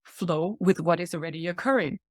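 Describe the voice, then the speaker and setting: A woman's voice: on the low side, a little fast, a little mellow, no echo, clean studio sound. Woman in her forties explaining ideas earnestly. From Thailand. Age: 40s. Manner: earnest, explanatory, laughs lightly between points. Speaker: guest speaker. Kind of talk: reflective podcast talk.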